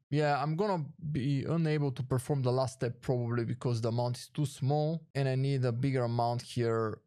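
A man's voice: mid-range voice, dark tone, no room sound, studio quality. A man hosting a casual step-by-step screen tutorial, thinking aloud with some hesitation as he demonstrates. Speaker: tutorial host.

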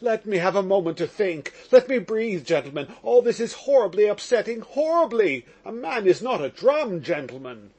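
A man's voice: sits high, very animated. A man voicing an agitated, slightly drunk young man under suspicion, talking nervously and effusively. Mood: pleading, ecstatic. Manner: nervous, rapid, pleading.

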